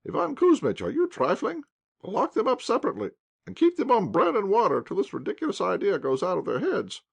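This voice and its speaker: A man voicing a bossy middle-aged commandant's wife, scolding and giving orders in a high, loud voice. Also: huge pitch swings, a little fast, vocal fry, husky, full character voice. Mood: indignant.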